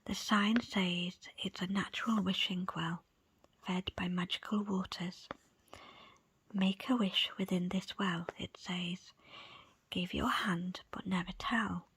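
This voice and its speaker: A woman reads aloud in an ASMR style, entirely in a very breathy whisper. Her phrasing is soft, deliberate and slow. The sound is dry, with hardly any room to it. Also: fairly low pitch, steady tone, no echo, poor audio. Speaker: book presenter and reader. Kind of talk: ASMR whispered book reading.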